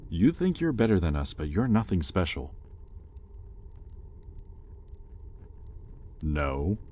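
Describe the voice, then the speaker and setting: A man's voice: deep voice, very animated, normal speed. A man playing a bitter, conflicted demon in a roleplay audio drama, speaking to his captive with brooding intensity. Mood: resentful, resigned. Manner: brooding, intense, swinging between hushed confession and heated accusation. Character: bitter, conflicted male demon, possessive owner of a captive angel. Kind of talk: scripted roleplay audio acting, addressed to the listener.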